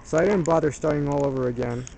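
A man's voice: a little high, fast talker, gravelly, darkish tone. Man giving casual DIY tutorial, noisy recording. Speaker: tutorial presenter.

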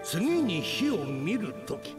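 Very slow, solemn saga narrator. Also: male voice, high-pitched, sing-song, exaggerated voice. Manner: portentous, measured delivery. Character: solemn storyteller of a ninja war saga. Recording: echoing voice under loud music.

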